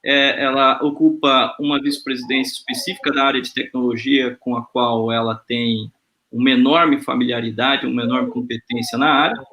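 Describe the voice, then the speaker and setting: Bright-voiced man hosting an informal panel. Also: medium pitch, normal speed. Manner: relaxed, lightly joking, with hesitant fillers. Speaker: host. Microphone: video call.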